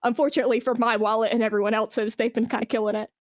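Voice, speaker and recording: Enthusiastic, higher-voiced woman in casual chat. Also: little pitch movement, very fast, no echo, clean studio sound. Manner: enthusiastic, informal, sweary. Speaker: podcast guest, esports brand manager.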